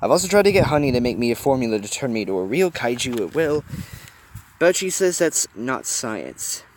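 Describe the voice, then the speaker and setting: A man voicing an excitable, teasing young male mascot who loves comics and monsters. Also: medium pitch, fairly quick, a little hiss or hum, close to an everyday voice. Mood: playful.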